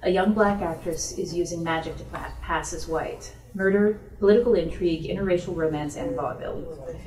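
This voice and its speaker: A woman's voice: fairly low pitch, fairly quick, darkish tone, a little hiss or hum. A woman author answering audience questions at a book event in an informal, explanatory, conversational way. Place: bookstore event room. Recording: roomy echo, distant microphone.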